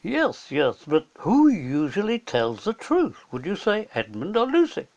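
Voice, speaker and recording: Man acting a logical, animated professor. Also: high voice, gravelly, muffled recording, pitched above the performer's own voice, heavily acted. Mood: reasoning. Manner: measured reasoning, questioning. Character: wise male professor, logical and gently firm. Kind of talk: children's storybook character voice.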